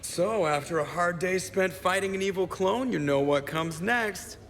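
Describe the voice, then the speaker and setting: A flippant young starship pilot in a game. His voice is fairly high, his delivery casual and sarcastic. There is faint noise behind him. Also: unhurried, a bit bright. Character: wisecracking, irreverent young male starship pilot.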